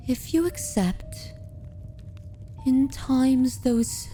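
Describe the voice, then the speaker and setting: A woman playing a dominant, possessive yet tender vampire mistress in an ASMR roleplay, speaking softly and intimately in a formal, courtly manner. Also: medium pitch. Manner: slow, deliberate, formal and courtly. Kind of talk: ASMR roleplay, soft intimate delivery with whispers.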